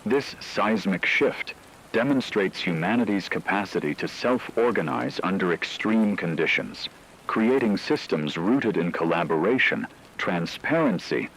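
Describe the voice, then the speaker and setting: A man with a deep voice reads a formal scripted news report as a radio co-anchor. His delivery is measured, and there is faint rain-like noise behind him. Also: animated, fairly bright tone, poor audio.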